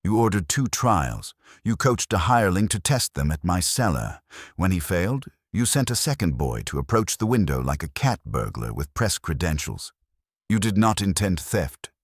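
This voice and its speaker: A man voicing a stern, pedantic older detective who delivers formal, scornful rebukes in a measured, ornate way. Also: low voice, very animated, breathy edge, no room sound, studio quality, voice pushed up, full character voice.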